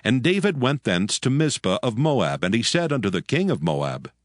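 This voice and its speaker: A man narrating scripture from a script in a solemn, measured way. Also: medium pitch, sing-song, no room sound, clean studio sound.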